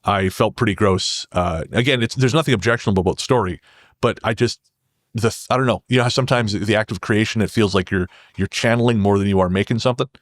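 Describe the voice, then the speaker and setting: A man with a fairly low voice talks casually as a podcast guest and writer. He is earnest and halting, often rephrasing as he goes. The sound is very dry and close, with no room echo. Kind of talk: casual podcast conversation, storytelling.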